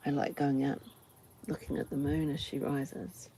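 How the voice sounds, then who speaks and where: A woman with a New Zealand accent musing aloud in short unhurried phrases with pauses, in an unscripted personal ramble recorded outdoors. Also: sits low, level tone, mellow, slight background noise. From Auckland, New Zealand. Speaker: host of a personal ramble vlog. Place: outdoors on a farm doorstep. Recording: outdoor ambience, buzzing flies and insects. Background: flies, insects, farm animals.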